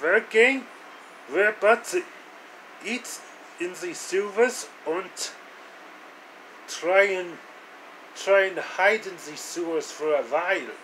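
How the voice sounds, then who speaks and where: A man's voice: high-pitched, low-quality recording. A man voicing a talkative young adventurer in a storybook parody, speaking hesitantly and repeating phrases. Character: food-loving, talkative young adventurer hiding out. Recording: steady air-conditioning noise.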